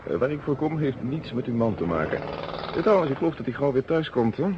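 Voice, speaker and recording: An actor playing a shrewd, self-assured police inspector in a radio play, measured, polite and casually probing. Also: male voice, mid-range voice, big pitch swings, close to an everyday voice. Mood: disarmingly polite. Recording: dull, noisy old radio recording.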